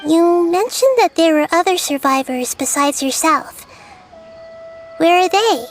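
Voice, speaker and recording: A gentle, girlish goddess from a video game, soft and reassuring in manner. Her voice sits very high and light. Faint game music runs underneath. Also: expressive, unhurried. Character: small girlish goddess of wisdom, gentle and kind. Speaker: Lord of Dendro.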